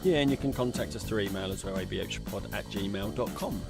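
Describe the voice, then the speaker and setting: A man's voice: fairly low pitch, a little fast, gravelly, music in the background. Casual man chatting on a podcast. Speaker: podcast co-host. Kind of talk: casual conversational podcast chat.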